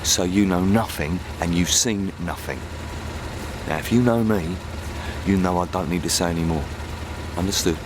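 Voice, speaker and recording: A man's voice: low voice, normal speed, pauses often, background noise, lightly acted. Man playing a curt, menacing London gangster. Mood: low menace. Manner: curt, threatening. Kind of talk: comedy radio play acting.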